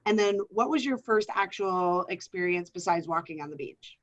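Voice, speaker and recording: A woman co-hosting an online Q&A, calmly reading out audience questions. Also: fairly low pitch, moderate pace, lo-fi sound. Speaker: co-host.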